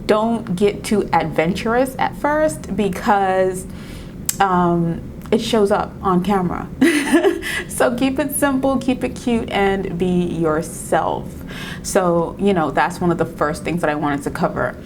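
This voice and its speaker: A woman's voice: mid-range voice, slow, background noise. A woman from Trinidad and Tobago with a Trinidadian accent that has some New York in it, talking to the camera in an encouraging way, often laughing and restarting her words. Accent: Trinidadian mixed with New York. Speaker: video creator giving tips. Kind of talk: casual talk to camera.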